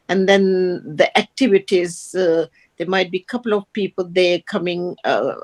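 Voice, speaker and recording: A woman taking part in an online discussion, speaking hesitantly and restarting her phrases often. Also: mid-range voice. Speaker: participant.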